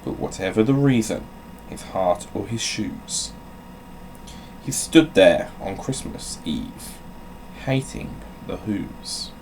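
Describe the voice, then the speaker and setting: Low-voiced man, slow and theatrical. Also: animated, a little mellow, slight background noise, low-quality recording. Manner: dry, self-mocking, sweary. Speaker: host of a gaming channel. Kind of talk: theatrical storybook reading mixed with casual sweary rambling.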